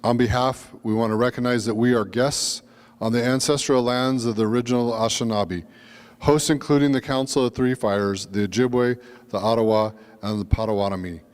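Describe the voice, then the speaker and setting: A man's voice: medium pitch, fairly even intonation, brisk, smooth, long reverb tail. A Lakota man presenting at a workshop, giving an earnest, welcoming land acknowledgment and introduction. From Lakota, United States.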